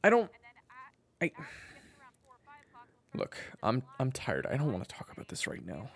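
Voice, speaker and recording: A man playing a brooding, weary former killer in an audio drama, narrating his own story in a gravelly voice. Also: medium pitch, huge pitch swings, unhurried, roomy sound, heavily acted. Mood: weary, defensive. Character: brooding weary ex-killer husband fighting his inner demon. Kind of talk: audio drama first-person narration and dialogue.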